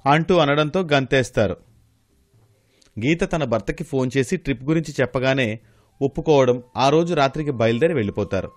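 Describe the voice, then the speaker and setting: A man's voice: medium pitch, normal speed, no echo. A man reading scripted narration for a moral story.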